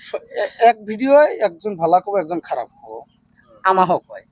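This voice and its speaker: A man's voice: high voice, big pitch swings, moderate pace, breathy edge, no echo, poor audio. A man with a hosting a casual vlog interview, repeating answers back and giving advice. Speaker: video creator interviewing newcomers.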